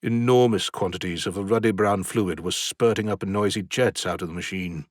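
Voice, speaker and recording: A very deep, husky male voice reads a classic novel aloud in a dramatic audiobook style. His delivery is intense and swells to urgent heights when the action peaks. The recording is close and completely dry, with no room sound. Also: a little fast, no room sound. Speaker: audiobook narrator.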